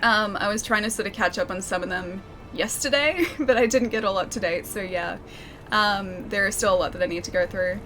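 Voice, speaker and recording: A young woman streaming a game and chatting with her viewers, her voice a little croaky and scratchy from a sore throat, laughing often and calling people dude and man. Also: medium pitch, brisk, pushed and bright, faint music behind. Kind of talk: casual chat with live stream viewers.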